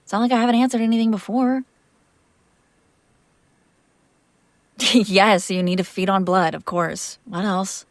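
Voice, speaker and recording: A woman's voice: mid-range voice, steady tone, brisk, no echo, close to an everyday voice. Dry, close-sounding woman acting as a vampire master. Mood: exasperated, defensive. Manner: conversational, defensive, sardonic. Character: female vampire master, exasperated yet protective caretaker. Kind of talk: intimate audio roleplay acting, one side of a conversation.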